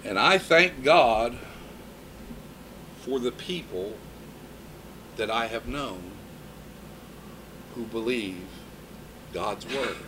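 Male preacher, fifties, relaxed storytelling sermon, mid pitch. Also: extremely slow, long pauses, slight background noise, rough recording. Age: 50s. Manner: conversational preaching with personal stories.

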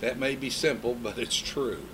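Male preacher, fifties, relaxed storytelling sermon, mid pitch. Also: moderate pace, slight background noise. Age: 50s. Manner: conversational preaching with personal stories.

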